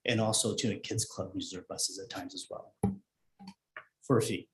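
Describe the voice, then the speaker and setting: Male administrator, somewhat low pitch, measured and explanatory, a touch hesitant, echoey meeting-room recording. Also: steady tone, noisy background. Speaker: school administrator. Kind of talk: informational answers at a board meeting. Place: indoor school board meeting room.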